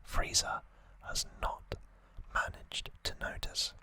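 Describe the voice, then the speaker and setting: An ASMR host speaking in a soft, breathy, unvoiced whisper, explaining things in a gentle, hesitant way as in a relaxed recap. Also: takes it slowly.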